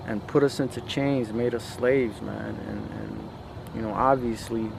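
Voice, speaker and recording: A man from a post-Soviet country speaking in a casual, reflective monologue, halting and repeating himself, with lots of slangy filler. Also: on the low side, lively intonation, vocal fry, noisy background. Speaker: podcast host.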